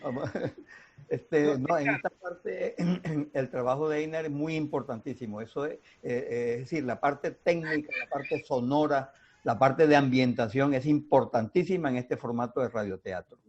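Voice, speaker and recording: A man's voice: mid-range voice, moderate pace, darkish tone, husky, highs cut off. An older man, a radio drama veteran talking in a casual panel and repeating key phrases emphatically.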